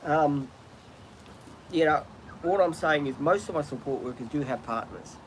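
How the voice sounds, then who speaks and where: Australian man, middle-aged, rambling live-stream host, outdoors with steady background noise. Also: a little high, moderate pace, rough recording. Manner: chatty, rambling, frequent ums and restarts. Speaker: livestream host. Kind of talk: casual live-stream chat. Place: outdoors, birds in trees nearby.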